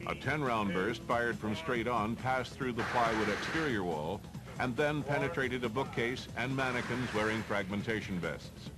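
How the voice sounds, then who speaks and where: A man's voice: mid-range voice, moderate pace, over loud music, poor audio. A man with an American accent reads formal, matter-of-fact instructional narration for a training film.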